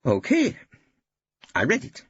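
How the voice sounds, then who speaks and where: A man's voice: fairly high pitch, huge pitch swings, takes it slowly, slightly rough, lo-fi sound, pitched above the performer's own voice, full character voice. A man voicing a young, proud and easily hurt male defendant who speaks colloquially and defensively. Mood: hurt, irritable. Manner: plain colloquial speech, defensive.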